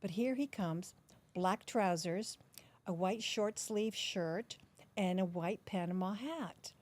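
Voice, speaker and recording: An older woman from the Netherlands, a podcast guest recounting memories in an unhurried, storytelling way. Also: mid-range voice. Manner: warm, unhurried storytelling with soft laughs. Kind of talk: storytelling interview.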